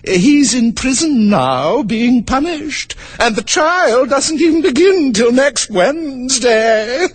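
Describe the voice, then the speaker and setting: A man voicing a flustered, scatterbrained old queen in a storybook reading, high and quavering, stammering and drawn out. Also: animated, exaggerated voice. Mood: dithering. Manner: drawn-out dithering, stammering, bursting into squeals.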